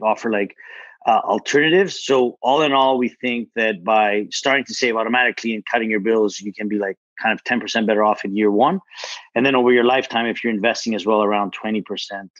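A man's voice: on the low side, no echo, lo-fi sound. A man in his thirties, a startup founder speaking on a video call, casual, repeating words and saying "like". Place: home kitchen indoors. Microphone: earbuds on a video call.

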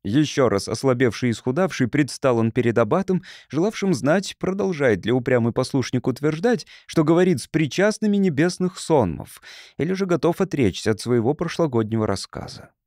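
A man's voice: medium pitch, lively intonation, a little fast, a little mellow, no echo, studio quality. A man reading literary fiction as a scripted audiobook narration.

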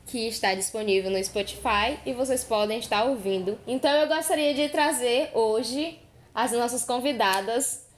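A woman's voice: a little high, moderate pace, a little hiss or hum. A woman hosting a podcast in an informal, conversational storytelling way. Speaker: podcast host. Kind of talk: casual podcast narration.

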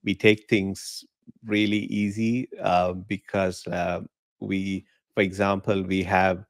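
Middle-aged man from Sri Lanka, low and dry, easygoing and laughing podcast guest. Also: slow pace, dry recording. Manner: relaxed, chatty, laughs often. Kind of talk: casual interview chat. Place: home living room. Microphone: podcast mic in frame, video call.

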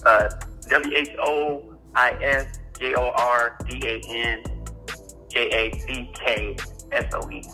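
Male, thirties, piercingly bright voice, emphatic casual phone talk with some stammered repeats, faint music behind. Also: medium pitch, little pitch movement, slow pace, low-quality recording. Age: 30s. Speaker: stand-up comedian being prank called. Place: plain room, on a video call. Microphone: phone on a video call.

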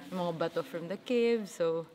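Low-voiced young Filipino woman, casual. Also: normal speed. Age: young adult. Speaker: archaeologist being interviewed. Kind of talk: casual chat, switching between languages. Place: cave excavation site. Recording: chatter of a busy dig site.

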